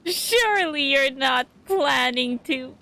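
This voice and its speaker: A woman's voice: sits high, pushed and bright. A woman streaming a game, reacting casually in a giggly, hesitant way. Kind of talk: casual gameplay reactions and reading along.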